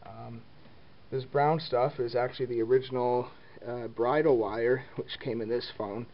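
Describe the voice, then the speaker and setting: A man casually walking through his basement wiring on camera, unhurried and full of ums and uhs. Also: medium pitch, fairly even intonation. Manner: unhurried, many ums and uhs, plain easygoing talk. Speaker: hobbyist showing home phone wiring. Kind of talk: casual filmed walkthrough. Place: basement, indoors. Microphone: handheld camera mic, speaker off screen behind it. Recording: dull lo-fi camera audio with faint hiss.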